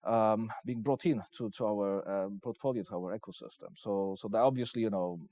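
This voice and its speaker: A man with a Polish accent giving interview answers as he pitches his investment company, in hesitant, piecemeal phrases. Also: fairly quick, warm tone, no room sound, studio quality. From Poland.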